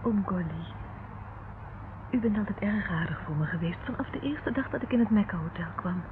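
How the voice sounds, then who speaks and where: A woman's voice: medium pitch, animated, brisk, natural voice. An actress in a radio play playing a polite, anxious young woman held captive, earnest and pleading. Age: young adult. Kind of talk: Dutch radio play acting. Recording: tinny, noisy old radio play recording.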